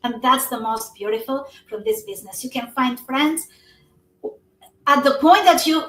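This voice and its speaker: A woman's voice: sits high, moderate pace, fairly bright tone, slight background noise. A woman, an English speaker who talks earnestly with many fillers as an interview guest. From Albania. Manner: earnest, non-native English with frequent fillers. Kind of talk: casual conversation. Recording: echoey room, somewhat far from the mic.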